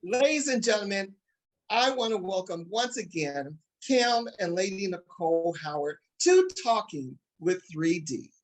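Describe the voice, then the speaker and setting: A man hosting a talk show in an upbeat, excited and emphatic way, addressing his audience directly. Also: high voice, a little slow.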